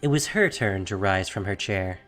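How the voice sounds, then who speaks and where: A man's voice: sits low, expressive, moderate pace, a bit bright, soft background music. A man narrating a fantasy audio drama from a script in a dramatic storytelling style.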